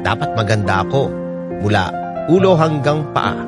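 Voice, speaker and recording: A man's voice: lowish voice, lively intonation, normal speed, lightly acted. A man with a Filipino accent playing a chatty, playful storyteller, narrating a first-person story for radio drama. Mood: earnest, playful. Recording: loud music under narration.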